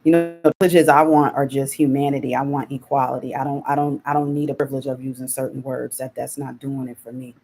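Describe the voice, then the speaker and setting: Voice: medium pitch, little pitch movement, fast talker, few pauses, darkish tone, faint music behind. A channel host reacting on a live stream, talking hesitantly and restarting as they think aloud.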